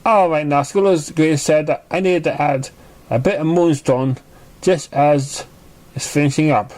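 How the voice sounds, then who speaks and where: A man's voice: on the high side, unhurried, a little mellow, pitched above the performer's own voice, lightly acted. A man plays a young girl pony with a raised voice. She is helpful and encouraging, a friendly little potion maker from a storybook. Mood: focused.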